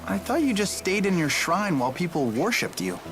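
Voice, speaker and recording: A gentle, earnest teenage boy in a video game. He sounds sincere, with a youthful pitch that moves around a lot, over faint music. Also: sing-song, a little fast.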